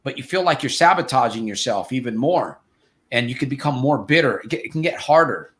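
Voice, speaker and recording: Laid-back connecticut guy in his 50s, coach talking with a guest, mid pitch. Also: expressive, a little fast, a bit bright. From Connecticut, USA. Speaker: host and coach. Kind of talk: casual interview chat.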